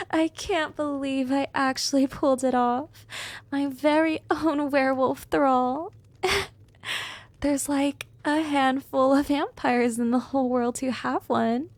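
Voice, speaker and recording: A woman playing a smug, gently dominant female vampire in an intimate ASMR roleplay, speaking softly and often slipping into whispers, teasing and coaxing. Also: on the high side, steady tone, takes it slowly, no echo, full character voice. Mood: gloating, playful. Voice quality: soft, breathy, often dropping into whispers.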